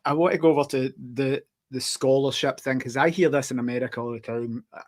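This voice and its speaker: A man's voice: mid-range voice, fairly even intonation, fast talker. A Scottish man hosting a podcast interview, joking and teasing his guest between questions. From Scotland. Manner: joking, self-deprecating banter with fillers. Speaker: podcast host. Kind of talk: casual interview chat.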